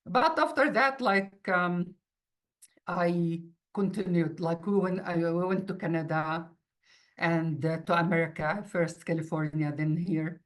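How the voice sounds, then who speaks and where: Woman, middle-aged, native Arabic speaker, Arabic-accented, slightly low pitch, slow hesitant pace, informal interview talk. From Palestinian refugee from the Middle East. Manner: halting, searching for words. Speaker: university mathematics instructor. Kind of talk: informal interview, personal account.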